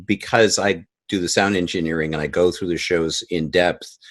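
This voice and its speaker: A man speaking as a guest in a conversational interview, halting as he repeats and rephrases. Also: low voice, fairly even intonation. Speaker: podcast guest, sound engineer.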